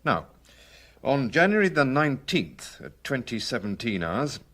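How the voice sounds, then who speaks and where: An actor playing a methodical, respectful male police superintendent, giving formal, measured reports in a British radio crime drama. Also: mid-range voice, very animated, a bit bright. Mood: methodical, reporting.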